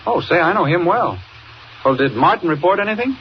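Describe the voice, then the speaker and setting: Eager reporter in a 1940s radio drama, higher voice that swings all over, doubting everything, muffled noisy old recording. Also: male voice, big pitch swings, fairly quick. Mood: curious. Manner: incredulous, questioning.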